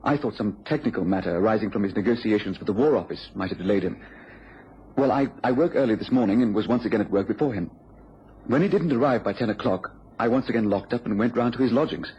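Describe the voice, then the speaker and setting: An actor playing an anxious inventor's assistant in a radio drama, British, earnest and slightly stammering. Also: male voice, fairly low pitch, fast, natural voice. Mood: worried. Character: anxious inventor's assistant pleading for a detective's help. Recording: dull, noisy radio recording.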